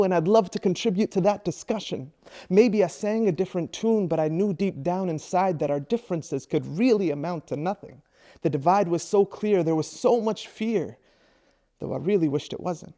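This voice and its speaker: A man's voice: high voice, dark tone. A man in his thirties from Iraq, introducing himself and then performing his own spoken word poem with a rhythmic, rhyming delivery. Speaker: presenter and spoken word poet.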